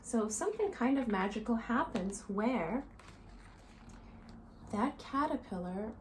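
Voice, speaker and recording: Lady teacher doing storytime for little kids, slow and super animated, explains stuff simply. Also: a little high, a little hiss or hum. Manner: deliberate, instructive, addressing young children. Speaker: teacher reading to young children. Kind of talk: expressive picture-book read-aloud and simple lesson for children. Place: small living room, indoors.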